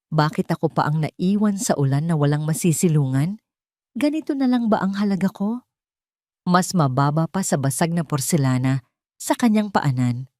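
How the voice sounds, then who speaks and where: A woman's voice: deep voice, a little mellow, dry recording, studio quality. A woman storyteller reading dramatic, scripted first-person narration.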